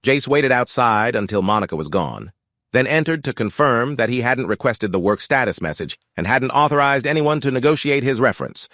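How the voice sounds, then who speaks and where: A man giving scripted first-person story narration in an even, reflective way. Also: mid-range voice, a little fast, dry recording, studio quality.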